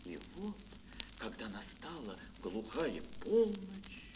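A man telling a fairy tale for children in a dramatic, drawn-out, expressive storyteller's manner. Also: mid-range voice, a little hiss or hum, rough recording. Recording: music behind, old mono record. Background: music.

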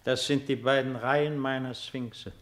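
An actor playing a solemn, weary ancient emperor in a radio play, proud and pensive, with grave, measured delivery. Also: male voice, mid-range voice, fairly even intonation, fairly bright tone, natural voice. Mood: proud, defensive. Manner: slow, grave, measured declamation. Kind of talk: 1950s German radio play acting.

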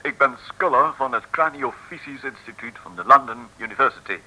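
An actor playing a pompous, politely sinister professor in an old radio serial, speaking formally with many hesitant ehs. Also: male voice, medium pitch, animated, brisk, sharp tone, breathy edge, heavily acted, rough recording. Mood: formal, self-important.

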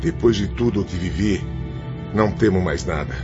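Loud music sits behind a man acting as a proud Brazilian spirit prisoner in an old-style radio drama. The voice is mid-pitched, swinging widely with indignant, theatrical defiance, and the sound is dull. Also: animated, moderate pace. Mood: defiant. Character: proud, rebellious, unrepentant male spirit prisoner.

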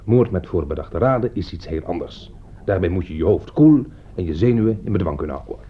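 An actor in a Dutch radio play playing an opinionated, touchy jurist who argues in a pedantic, scornful way. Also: male voice, sits low, animated, fairly quick. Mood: insistent, lecturing. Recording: dull, noisy old radio play recording.

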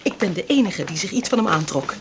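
A defiant, expressive Dutch woman, noisy scene. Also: on the low side, fast talker. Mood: scornful. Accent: Dutch from the Netherlands. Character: defiant, wounded mistress, scornful and self-pitying. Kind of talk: naturalistic 1980s radio drama acting. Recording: clear background noise and ambience.

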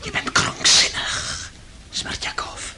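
A man playing a bitter, tormented intellectual gentleman in a radio play, with a biting, commanding and sardonic manner. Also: slow, in a whisper. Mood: suspicious, angry. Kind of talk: Dutch radio play acting.

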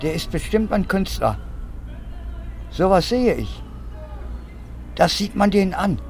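A smug street idler who knows it all, in a high, creaky voice. He speaks slowly and self-assuredly, radio-drama style, with faint music behind. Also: very animated, a little mellow, full character voice. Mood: smug, knowing. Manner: self-assured, repeating himself. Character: know-it-all street idler, smugly sure of himself. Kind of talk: radio play acting.

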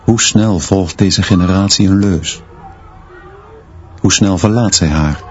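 Low, slow-spoken stern male teacher. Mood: sober, reflective. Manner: terse, measured, clipped sentences. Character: stern, austere male schoolteacher narrating his school year. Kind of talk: literary radio drama narration. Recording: dull sound, noise and murmur behind.

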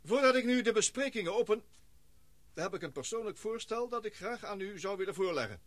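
An actor playing a formal male expedition leader and scientist who speaks in measured, speechmaking tones in a radio play. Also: high-pitched, expressive, fairly bright tone, no room sound.

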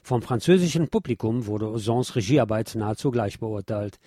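A man's voice: lowish voice, animated, normal speed, warm tone, no room sound, studio quality. A man hosting a radio show, reading a film review from a script with chatty asides to his listeners.

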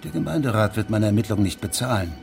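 An actor playing a weary, evasive judge in a German radio drama, speaking in a measured, restrained way. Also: male voice, on the low side, animated. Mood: evasive, resigned. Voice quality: gravelly. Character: weary, evasive male judge leaving a village. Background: music.

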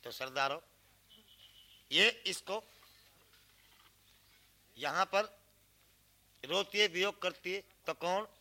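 A man's voice: high voice, lively intonation, takes it slowly, long pauses, pushed and bright. A man performing a folk tale as a singer-storyteller, moving between spoken narration and sung verse and posing questions that he answers himself. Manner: asks rhetorical questions and answers them. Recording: cassette field recording with instrumental music.

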